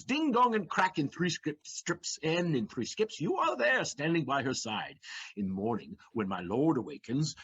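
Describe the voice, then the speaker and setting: An actor plays a quick-witted young servant with a husky, fairly high voice. He talks in a flowery, theatrical way. The sound is dull and lo-fi, like a stage recording. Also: exaggerated voice. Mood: playful. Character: Figaro, witty excitable young manservant, male. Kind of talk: stage audio play acting.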